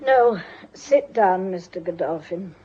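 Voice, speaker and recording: An actress playing a commanding elderly matriarch in a radio drama, husky, dry and authoritative. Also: female voice, lowish voice, lively intonation, slow pace, mellow, heavily acted. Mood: imperious, brisk. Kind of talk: British radio drama acting. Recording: dull, muffled radio drama recording.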